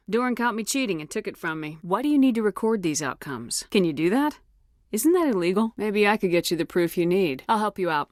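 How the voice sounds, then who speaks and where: A commanding military woman from a sci-fi game, performed by an actress. Her voice sits fairly low, and her delivery is firm, clipped and no-nonsense. The sound is dry and studio-clean, with very little room. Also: dry recording, natural voice. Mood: questioning, curt. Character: tough, commanding female military commander in space. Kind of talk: video game dialogue lines.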